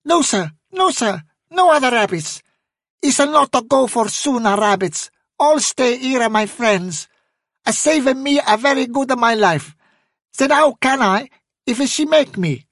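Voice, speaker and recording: A man voicing a small, nervous male field mouse who twitters in broken, halting English. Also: very high voice, lively intonation, fairly bright tone, no echo, studio quality, voice pushed up, full character voice. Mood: eager chatter. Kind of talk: audiobook character voice.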